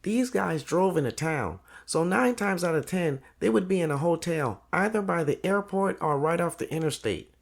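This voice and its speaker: A man playing a loyal, tech-savvy cousin in an audio drama, speaking in a measured, serious, colloquial way. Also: a little high, vocal fry.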